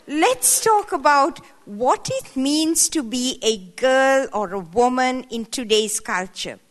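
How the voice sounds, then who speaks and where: A woman in her seventies speaks from a stage mic in a hall, her voice sitting a bit high. She talks playfully and conversationally, tossing in emphatic, rising asides to a room of women. A long reverb trails behind each phrase. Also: fairly bright tone. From Sri Lanka. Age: 70s. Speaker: guest speaker. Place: hall at a women's church event.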